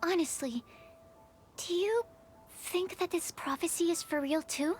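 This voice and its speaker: A woman voicing a shy, earnest young alchemist in a video game, speaking softly and thoughtfully. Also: very high-pitched, unhurried, slight background noise. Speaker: alchemist researcher.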